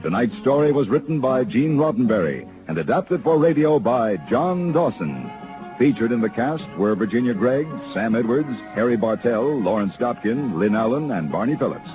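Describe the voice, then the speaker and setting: A man with an American accent reading scripted 1950s radio announcements in a measured, formal way. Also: mid-range voice, big pitch swings, music in the background, rough recording.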